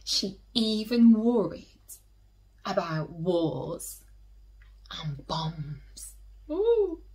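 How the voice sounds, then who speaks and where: A woman who teaches and hosts story time, reading a picture book aloud to children in an expressive way, drawing out key words and dropping into hushed asides. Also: mid-range voice. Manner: slow, animated storytelling with drawn-out emphasis and hushed asides.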